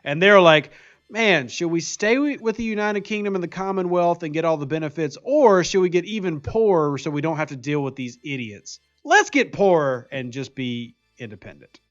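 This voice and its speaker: Opinionated guy ranting casually, kinda high voice, soft music behind. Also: expressive, moderate pace, dull audio. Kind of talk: casual banter on a gaming stream.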